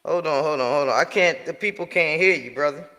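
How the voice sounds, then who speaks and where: Bright slangy male podcast host. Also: a little high, fairly quick, big-room sound. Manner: loose and slangy, many fillers and restarts. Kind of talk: casual live show chat.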